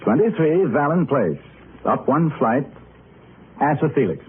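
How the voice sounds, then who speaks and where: An actor playing a polite, cultivated doctor with formal manners in an old radio drama. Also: male voice, a little high, very animated, measured pace. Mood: polite, interested. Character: polite, curious male doctor, cultivated chess enthusiast. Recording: noisy, narrow-band old radio recording.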